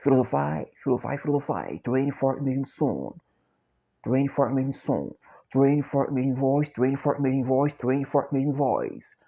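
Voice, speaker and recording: A middle-aged Brazilian man with a Portuguese accent, speaking English slowly and haltingly in a repetitive, chant-like monologue. He repeats short phrases over and over like a mantra. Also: mid-range voice, big pitch swings, gravelly, mellow, breathy edge. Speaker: self-described copywriter and philosopher. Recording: dull lo-fi recording.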